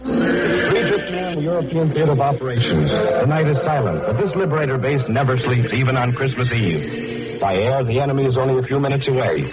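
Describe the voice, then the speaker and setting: A man's voice: medium pitch, brisk, heavy background noise. A man reading scripted wartime radio narration in a formal, dramatic 1940s manner on an old recording. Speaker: radio correspondent.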